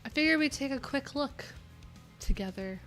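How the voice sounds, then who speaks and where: A woman's voice: high-pitched, expressive, moderate pace, a bit bright, faint music behind. Chatty woman rambling on a livestream. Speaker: livestream coding host. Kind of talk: casual livestream chat.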